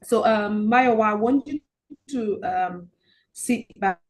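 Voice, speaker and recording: A woman coaching a group on money mindset over a video call. She talks haltingly with many ums and restarts, and slows into soft guided-relaxation instructions. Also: medium pitch. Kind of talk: online group coaching session with guided visualization.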